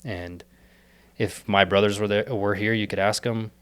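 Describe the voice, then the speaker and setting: A man's voice: fairly low pitch, fairly even intonation, moderate pace, a bit bright, smooth. A young man, a podcast guest, talking earnestly and rambling with many fillers and restarts, his voice a little worn as he is losing it. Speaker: podcast guest, startup co-founder. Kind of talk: casual reflective interview talk.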